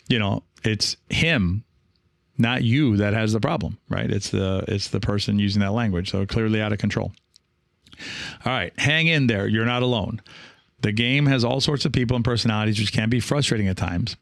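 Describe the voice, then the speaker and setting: A man talking casually as a podcast host and coach, reflective and encouraging, halting now and then and often checking in with the listener. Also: on the low side, creaky, no echo, clean studio sound. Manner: reflective, halting, with frequent rhetorical check-ins.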